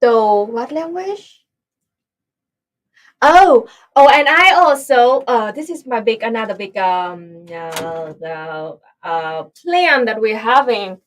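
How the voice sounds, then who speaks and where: A young woman from Vietnam with a Vietnamese accent, hosting a casual livestream and often pausing to search for words. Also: fairly high pitch, animated. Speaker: livestream host.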